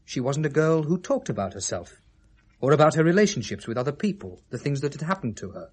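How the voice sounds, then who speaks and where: A man's voice: a little high, sing-song, a little fast, breathy edge. An actor in a 1970s British radio drama playing a defensive, articulate Englishman with a dry, precise, prickly manner. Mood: defensive, reflective. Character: defensive, articulate man questioned about dead young woman.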